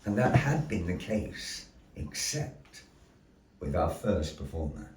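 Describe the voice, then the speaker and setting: A man hosting a live show as storyteller, with grandiose, deliberate phrasing and playful asides to the audience. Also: deep voice, big pitch swings, darkish tone. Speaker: storyteller and master of ceremonies. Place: indoor gallery. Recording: roomy echo, voice distant from mic.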